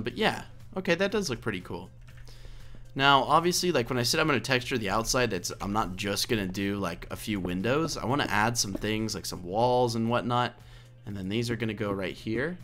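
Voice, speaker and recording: A slightly hoarse man giving a casual, rambling let's play commentary, thinking aloud and correcting himself as he goes. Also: on the low side, fairly bright tone. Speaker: video game let's play host.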